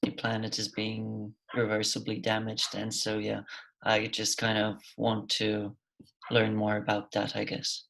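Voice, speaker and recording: A man's voice: on the low side, monotone, measured pace, vocal fry, a bit bright, low-quality recording. A young man speaking tentatively on an online panel. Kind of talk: casual talk.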